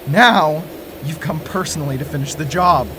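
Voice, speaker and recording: An actor playing a lonely conspiracy theorist who stammers but stays defiant. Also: male voice, a little high, moderate pace, background noise, close to an everyday voice. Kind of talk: audio drama acting.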